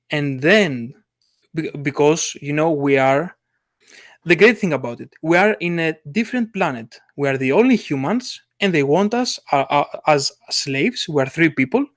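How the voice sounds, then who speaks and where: An eager man with chats about stories in a fairly high voice. He stumbles and restarts often as his ideas race ahead. The recording is dry and close. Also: lively intonation, dry recording. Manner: enthusiastic, halting, many restarts and laughs. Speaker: comic artist. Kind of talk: casual podcast chat.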